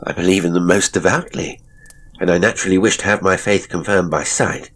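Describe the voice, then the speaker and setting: A man voicing a hearty, eager young Victorian gentleman in an audiobook, jovial and exclamatory in old-fashioned upper-class speech. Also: low-pitched, fairly quick. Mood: earnest. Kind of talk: audiobook character acting.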